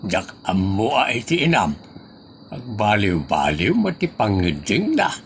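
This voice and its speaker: A man with an Ilocano accent acting a grumbling, excitable older husband and father in a comedy audio drama. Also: fairly low pitch, measured pace, a little hiss or hum. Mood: flustered. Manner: exclamatory, complaining.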